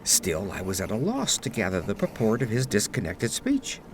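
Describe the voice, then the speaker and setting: A man narrating gothic horror fiction in a measured, suspenseful, dramatic storytelling style, reading from a script. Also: lowish voice, wildly swooping pitch, slight background noise. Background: ambient sound effects.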